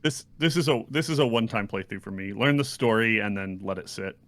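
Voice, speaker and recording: A man's voice: on the low side, a little fast, a bit bright. A man chatting casually as a co-host on a podcast. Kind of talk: casual chat.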